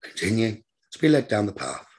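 A man leading a guided meditation as a teacher, giving gentle, repetitive instructions in a slow manner. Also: on the low side, very animated, husky.

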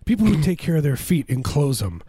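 A man with a hoarse, scratchy voice who is losing it, rambling through absurd comic riffs in casual podcast banter. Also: fairly high pitch, big pitch swings, brisk, mellow, no echo, clean studio sound. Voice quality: husky, scratchy. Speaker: comedy podcast host.

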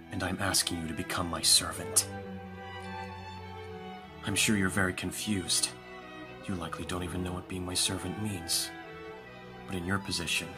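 A man playing a composed vampire lord in an audio roleplay, speaking formally and with calm authority to a servant. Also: low-pitched, natural voice. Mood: commanding, then solemn, persuasive. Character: lordly young-sounding male vampire master, calm and commanding. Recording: music behind the voice. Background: music.

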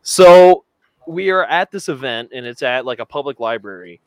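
A man's voice: fairly high pitch, animated, moderate pace, a little mellow, clean tone, no echo, rough recording. A man co-hosting a podcast, telling a rambling anecdote with lots of filler words in a casual chat. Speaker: podcast co-host.

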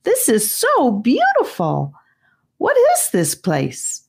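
High eager little boy done by a woman, storybook character, wide-eyed curiosity, very swoopy intonation. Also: wildly swooping pitch, takes it slowly, gravelly, slightly rough, exaggerated voice. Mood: amazed, excited.

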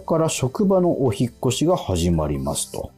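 A man's voice: mid-range voice, huge pitch swings, normal speed, dark tone, husky, faint music behind. A man co-hosting a radio show, reading out mail and chatting casually plenty of small interjections. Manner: chatty, frequent backchannels. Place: remote recording from home.

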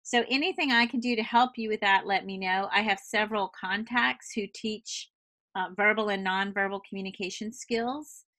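A middle-aged American woman giving conversational advice in an articulate, explanatory, measured way. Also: medium pitch, pushed and bright. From United States.

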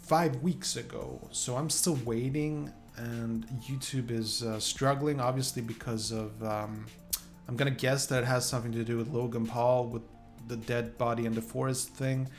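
A man from Sweden, an English speaker, chatting informally and unscripted to his viewers in a halting, rambling way. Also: mid-range voice, creaky. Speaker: YouTuber. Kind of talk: casual chat to viewers, unscripted.

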